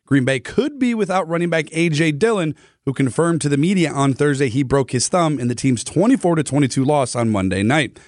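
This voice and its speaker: A man reading a scripted sports report on a morning radio show, brisk and even. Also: on the high side, no echo, studio quality. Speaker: sports news reader.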